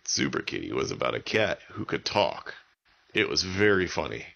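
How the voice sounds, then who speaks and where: A man's voice: a bass voice, very animated, moderate pace, creaky, a bit bright, dull audio. A man telling an improvised bedtime story for children, using simple, repetitive wording. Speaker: children's story podcast narrator.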